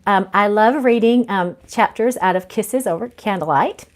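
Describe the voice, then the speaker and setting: A woman's voice: medium pitch, moderate pace. A woman with a mid-range voice hosts an informal online reading as an author. The delivery is that of someone sharing their own book with followers, part chat and part read-aloud.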